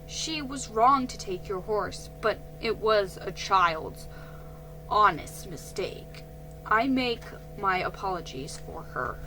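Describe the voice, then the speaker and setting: A woman voicing a calm, firm father who speaks in a measured way, in a children's storybook reading. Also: medium pitch, low-quality recording. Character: calm, firm father, middle-aged man. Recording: faint music behind.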